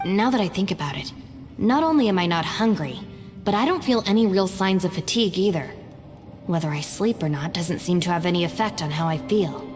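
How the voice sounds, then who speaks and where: A woman playing a composed, serious and cautious game character who speaks in a measured, formal manner. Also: on the low side, animated, a little mellow, big-room sound, soft background music, close to an everyday voice. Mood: reflective. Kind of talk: video game voice acting.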